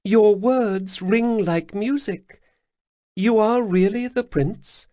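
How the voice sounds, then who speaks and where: A man's voice: sits high, slow pace, dark tone, no echo, pitched above the performer's own voice. A man voicing a female Khajiit caravan leader, speaking in formal, slightly broken phrasing. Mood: earnest, grateful. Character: female Khajiit cat-folk caravan leader, proud, grateful. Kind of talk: audiobook character voice.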